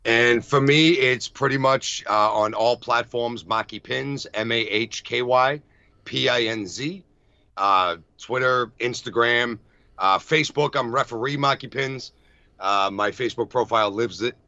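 A man's voice: medium pitch, unhurried, pushed and bright, dry recording. A man with a Boston accent bantering casually and plugging his socials as a podcast guest. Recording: slightly dull, lo-fi audio.

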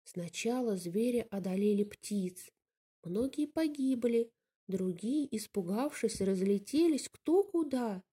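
Slightly husky woman reading a fairy tale. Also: fairly high pitch, lively intonation, takes it slowly, warm tone. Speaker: storyteller. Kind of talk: expressive read-aloud fairy tale narration.

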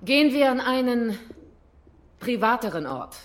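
An actress playing a proud, composed female rebel leader in a German audio drama, speaking formally with measured defiance. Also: on the high side, big pitch swings. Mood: cool, composed.

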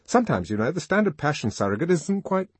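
A man's voice: fairly high pitch, sing-song, fast talker, slightly rough, no room sound, pitched above the performer's own voice. Man voicing a hearty, inquisitive male suitor. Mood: hearty, concerned. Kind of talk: audiobook character acting.

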